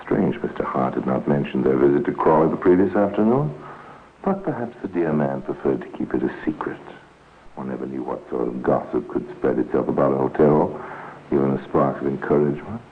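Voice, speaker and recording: A tinny vintage radio recording with faint noise. A man with an extremely low voice narrates a crime drama. His delivery is grave and wry, with deliberate, dramatic phrasing. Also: expressive.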